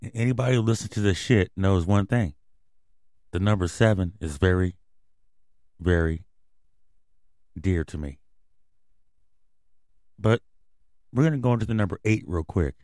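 A man's voice: sits low, normal speed, long pauses, darkish tone, dry recording, clean studio sound. A man hosting his own podcast, reading out news stories in a loose, blunt, conversational way under a grand on-air persona. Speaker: podcast host. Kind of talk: casual podcast news talk.

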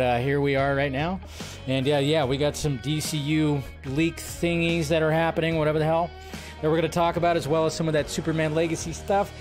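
Higher-pitched man hosting a casual livestream. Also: darkish tone. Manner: informal, mildly profane, rambling with repeated phrases. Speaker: livestream host. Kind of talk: casual livestream chat, greeting viewers and reading comments.

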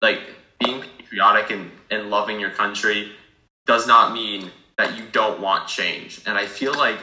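Teen boy, panelist, slightly low voice, bright, reflective and hedging, echoey room. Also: measured pace. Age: teens. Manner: thoughtful, hedging with fillers like "like". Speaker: discussion participant. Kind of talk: casual group discussion.

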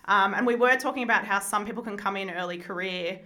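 Fast-talking woman host, bright voice, chatty rambling questions. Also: medium pitch. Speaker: podcast host. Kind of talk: casual interview chat.

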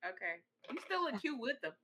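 A woman from Congo co-hosting a casual relationship discussion, colloquial and animated, often acting out imagined quotes. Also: sits high, fairly quick, slightly rough. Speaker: podcast co-host.

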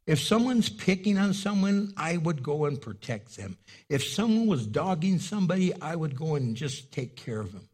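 A husky-voiced male pastor preaching in a storytelling way. His first language was Spanish, and he leaps into loud, high-pitched bursts when he acts out a scene before settling back down. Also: sing-song, normal speed. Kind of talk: sermon.

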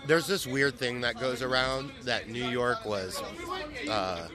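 A man's voice: mid-range voice, slow, crowd noise. A man hosting a casual street interview, talking in slangy, sweary banter outdoors. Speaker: podcast host. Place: outdoors on a New York street.

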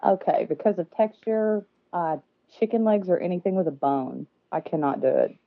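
Low-voiced woman on a tinny phone line. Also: expressive, moderate pace, gravelly. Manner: nervous, self-deprecating, frequent fillers and laughs. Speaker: podcast guest. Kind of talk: casual interview conversation. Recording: dry, phone-like remote call audio.

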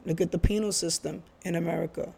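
Earnest New man, bright pitch. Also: steady tone, fast talker, vocal fry, breathy edge. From Bronx, New York. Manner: earnest, restarts and repeats phrases. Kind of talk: casual chat.

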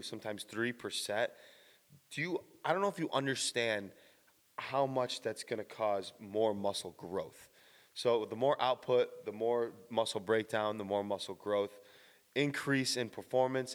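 A man explaining a supplement in a casual, blunt vlog monologue, swearing now and then, with a slightly raspy early-morning voice. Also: medium pitch, long reverb tail. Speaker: fitness vlogger.

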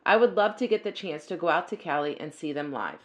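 A woman's voice: sits low, animated, quick pace, loud music behind the voice. Easygoing woman podcast host. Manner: easygoing, encouraging questioner. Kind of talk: interviewing a band.

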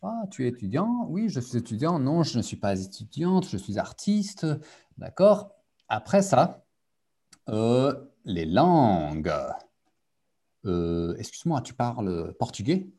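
Man, French teacher, husky voice, slow and deliberate, lively pitch, video call. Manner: switches between French and English, repeats key phrases for learners. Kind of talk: live online group lesson.

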